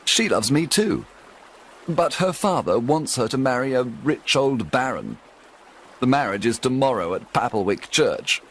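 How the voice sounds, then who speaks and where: A man's voice: medium pitch, lively intonation, measured pace, fairly bright tone, a little hiss or hum, pitched above the performer's own voice, poor audio. A man voicing an unhappy young minstrel in love, plaintive in a storybook reading. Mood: sad.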